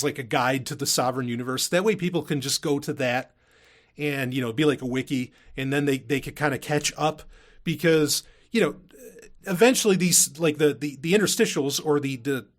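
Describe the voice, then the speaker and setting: A man hosting a podcast in casual, unscripted talk, rambling and doubling back on his sentences, with informal, emphatic phrasing. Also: a little high. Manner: rambling, halting, self-interrupting. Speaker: podcast host and audio drama creator.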